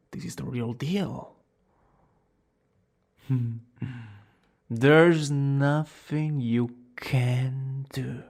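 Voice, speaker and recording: A man's voice: medium pitch, full character voice. A man playing a smug, dramatic young villain student who is secretly smitten, in an ASMR romance roleplay. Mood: gloating, confident. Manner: dramatic, drawn-out boasting that slips into breathy whispers and flustered exclamations. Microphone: close studio-style microphone.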